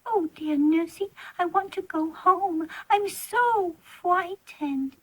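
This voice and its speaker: High-pitched, timid little girl. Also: slow, fairly bright tone, exaggerated voice, poor audio. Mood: frightened, tearful. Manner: childlike, earnest, pleading. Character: timid, imaginative, well-mannered little girl. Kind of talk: theatrical children's storytelling.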